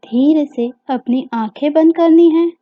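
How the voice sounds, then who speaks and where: A woman with an Indian accent narrating a guided meditation story for children, gently and with deliberate pauses, over music. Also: high voice. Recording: dull lo-fi audio with music behind.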